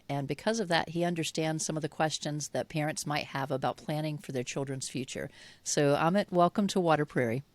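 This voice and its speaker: A woman's voice: sits low, no room sound. A woman hosting a podcast, introducing her guest in a friendly, plain way. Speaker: podcast host. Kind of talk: scripted podcast intro and interview.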